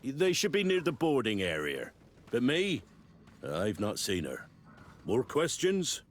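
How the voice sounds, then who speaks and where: A helpful port seafarer in a game cutscene. His mid-pitched voice moves up and down a great deal. He is plain-spoken and obliging. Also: wildly swooping pitch, slow pace, slight background noise. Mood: helpful, matter-of-fact. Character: obliging, matter-of-fact male port seafarer. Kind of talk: video game cutscene dialogue.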